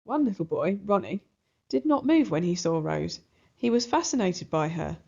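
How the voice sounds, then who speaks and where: A woman narrating a children's book aloud in an expressive storybook style. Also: on the low side, moderate pace, creaky. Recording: slightly dull, muffled recording.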